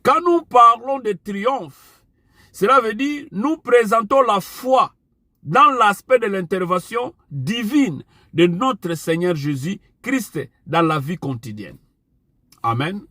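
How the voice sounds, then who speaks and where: Man, Portuguese speaker, preacher, deliberate repeated refrains, dry close sound. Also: high voice, animated, pushed and bright, no room sound. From Angola. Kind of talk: online sermon.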